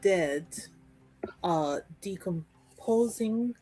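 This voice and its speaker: A woman playing in a tabletop game stream, moving between casual chat and roleplay with expressive, dramatic emphasis. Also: mid-range voice, extremely slow, husky, poor audio. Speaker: player in an actual-play tabletop show. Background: music playing underneath.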